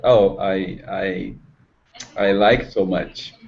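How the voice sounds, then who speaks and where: A man with a non-native English accent, learning English and speaking in halting, simple phrases. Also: sits low, steady tone, creaky, a little mellow. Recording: dull lo-fi online call.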